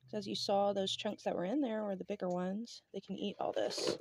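A woman's voice: mid-range voice, steady tone, brisk, gravelly, a little mellow, no echo. A woman in her thirties talking casually through a how-to vlog, recorded outdoors on a handheld phone. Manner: chatty, informal. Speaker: homestead vlogger. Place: outdoors on a home porch. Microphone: phone at arm's length or handheld.

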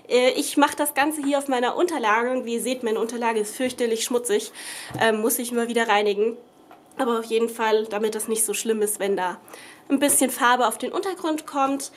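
A woman's voice: fairly high pitch, slight background noise. A young woman with a cold, chatting casually through a craft tutorial at her table at home, rambling with lots of fillers and self-corrections. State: has a cold. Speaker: craft vlogger. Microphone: camera above the table, speaker off screen.

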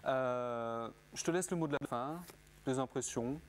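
Un homme d'une trentaine d'années qui mène une interview télévisée en hésitant, beaucoup de « euh », sur un ton joueur, micro-cravate dans une salle de théâtre vide. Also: male voice, medium pitch, measured pace, slight background noise. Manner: hesitant, many fillers, playful. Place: empty theatre auditorium. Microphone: lapel.

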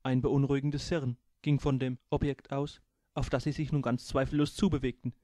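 A man's voice: mid-range voice, little pitch movement, brisk, mellow, dry recording, clean studio sound. A man reading his own humorous audiobook aloud, narrating and giving each crew member a voice.

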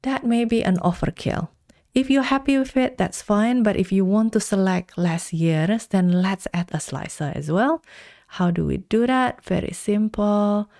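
A woman giving calm, step-by-step instructions in a screen-recorded software tutorial. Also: mid-range voice, creaky, no echo, clean studio sound. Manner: calm step-by-step instructions, slow deliberate pacing.

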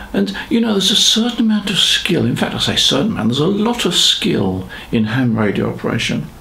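Older british guy, 70s, gravelly creaky voice, explaining stuff to camera, measured with little pauses. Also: medium pitch, fairly bright tone, low-quality recording. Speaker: ham radio presenter. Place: small home studio room, indoors.

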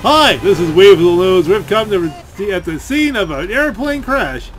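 Man putting on an animated mock TV news reporter. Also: high voice, moderate pace, pitched above the performer's own voice. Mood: mock earnest. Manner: announcing, mock-formal. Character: mock TV news field reporter, male, earnest. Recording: game engine noise behind.

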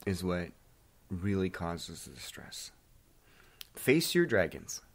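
A man with a fairly low voice hosts a daily devotional. He speaks as someone reading to listeners and leading them in prayer. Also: sing-song, slow pace. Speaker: devotional host.

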